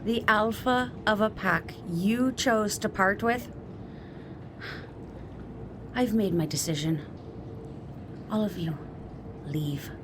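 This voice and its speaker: A woman playing a grieving, stern leader of the Sirens in a tabletop roleplay, speaking in a measured, grave way. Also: medium pitch, background noise, close to an everyday voice. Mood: cold.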